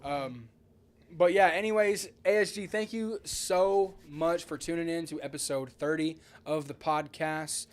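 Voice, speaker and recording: A male podcast host with a voice a little higher than most men's. He talks in an excitable, slangy way, swearing freely and circling back over his phrases as he chats. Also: measured pace. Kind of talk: casual podcast chat.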